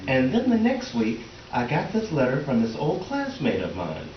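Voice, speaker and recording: A man's voice: mid-range voice, wildly swooping pitch. A man playing a mild, defensive middle-aged husband in a readers theater comedy, matter-of-fact and a little awkward. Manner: matter-of-fact, defensive, halting when flustered. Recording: roomy, distant, noisy, dull stage recording.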